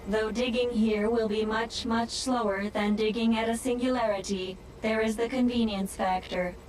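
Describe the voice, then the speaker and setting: A woman voicing a composed, helpful AI in a video game, speaking in measured, precise, formal phrases. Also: fairly high pitch, fairly even intonation, darkish tone, far from the mic, slight background noise, close to an everyday voice, rough recording. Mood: helpful, matter-of-fact. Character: female support AI, composed, helpful, gently wry. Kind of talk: video game cutscene acting.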